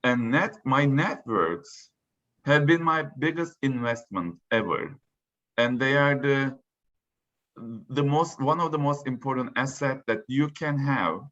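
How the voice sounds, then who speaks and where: A man's voice: medium pitch, a little slow, fairly bright tone. A man from Turkey, a native Turkish speaker, chatting on a video call panel with many "like" fillers. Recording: dull lo-fi call audio.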